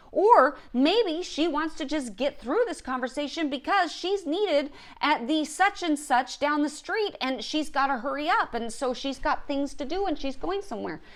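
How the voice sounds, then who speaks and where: A woman with a fairly high voice speaks as a coach giving a lesson. She explains things emphatically, step by step. She often poses a question and then answers it herself. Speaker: writing coach and show host. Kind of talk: instructional talk to camera.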